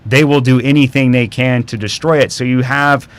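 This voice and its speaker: A man with a medium-pitched voice talks as an interview guest. He tells stories casually and in detail, like an expert sharing what he has researched. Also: steady tone, normal speed, clear, resonant tone. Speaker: interview guest, radio history expert and documentary maker.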